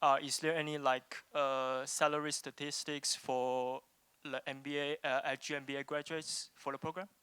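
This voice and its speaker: A man's voice: medium pitch, level tone, normal speed, sharp tone, clean tone, dry recording. Hesitant man asking a question. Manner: hesitant, many "uh" fillers and repeats. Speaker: audience member asking a question.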